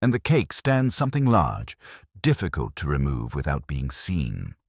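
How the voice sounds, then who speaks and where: A man voicing a calm, shrewd, courteous police inspector in a storybook mystery, speaking gently and deliberately. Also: extremely low pitch, vocal fry, husky, no room sound, clean studio sound, exaggerated voice. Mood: calm, thoughtful.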